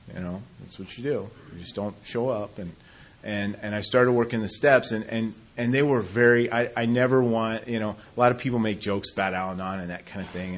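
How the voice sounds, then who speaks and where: A man sharing his own recovery story in a halting, self-deprecating way, often restarting sentences and laughing at himself. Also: lowish voice. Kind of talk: personal testimony talk.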